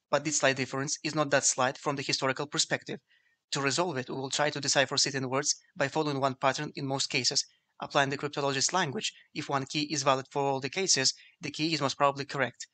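Fast-talking man with a flat, bright delivery. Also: medium pitch. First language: Ukrainian. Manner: measured, explanatory, reading from a script. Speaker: video essay narrator. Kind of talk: scripted lecture narration.

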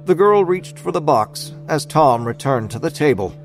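A man's voice: fairly high pitch, lively intonation, moderate pace, faint music behind. Male narrator of a scripted story.

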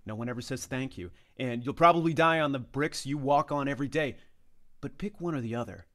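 A man playing a hard-boiled veteran eighties street cop, lecturing gruffly and emphatically in an action comedy table read. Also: medium pitch, a little fast, fairly bright tone, natural voice. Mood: grave. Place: indoors on a group video call.

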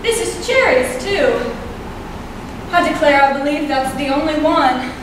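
A young woman on a school stage playing a loyal, sharp-tongued farm neighbor who speaks bluntly and defensively. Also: sits high, expressive, a little slow. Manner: blunt, defensive, plain-spoken. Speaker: student actor. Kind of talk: amateur stage acting. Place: high school auditorium stage. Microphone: far camera in the audience. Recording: echoey hall, far off, noisy.

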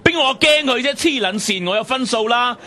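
A man's voice: lowish voice, fairly quick, natural voice. A man with a Hong Kong Cantonese accent playing a defensive, sweary young office worker in a colloquial radio drama. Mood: angry. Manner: curt, sweary. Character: defensive, foul-mouthed young office worker nursing hurt pride.

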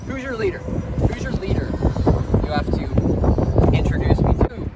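A man's voice: very high-pitched, lively intonation, normal speed, recorded from a distance. A man playing an exasperated kidnapped everyman, agitated and complaining, repeating himself. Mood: exasperated, demanding. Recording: loud wind and engine noise, off-mic.

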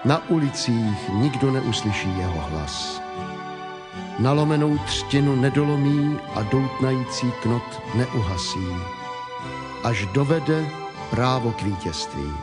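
A man's voice: mid-range voice, animated. A man giving a solemn, scripted reading of scripture. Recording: background music under the voice.

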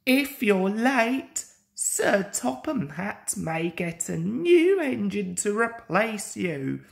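A woman's voice: mid-range voice, sing-song, takes it slowly, vocal fry, full character voice. A woman voicing a cheeky, nagging young male engine in a children's storybook. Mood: urging.

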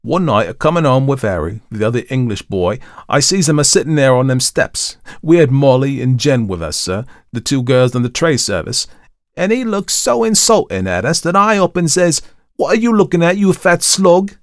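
A man's voice: medium pitch, big pitch swings, normal speed, no room sound, clean studio sound, voice pushed up. A man voicing a young Cockney manservant and ex-soldier, deferential but indignant, in a colloquial storybook reading. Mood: worked-up, contemptuous. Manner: colloquial, deferential, calls master sir.

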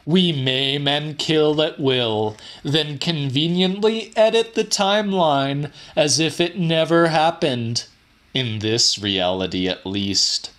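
A man's voice: a little high, animated, takes it slowly, a bit bright. A man voicing a gloating, grandiose supernatural killer in a pompous, theatrical manner for a horror audiobook. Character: gloating, grandiose male supernatural killer addressing his audience.

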